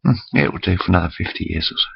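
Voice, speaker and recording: A man's voice: low-pitched, animated, rapid-fire, gravelly, slightly rough, lo-fi sound. A man in his forties rambling chattily to his webcam, interrupting himself often. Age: 40s. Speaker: vlogger. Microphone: built-in computer camera mic.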